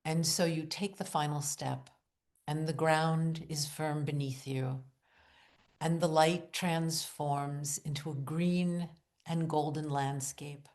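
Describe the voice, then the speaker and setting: A woman with a low voice leads a guided meditation at a very slow pace. She sets down short, deliberate phrases and leaves long pauses between them. Her delivery is hushed and soothing, with an incantatory repetition. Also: steady tone. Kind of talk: guided visualization, meditative narration.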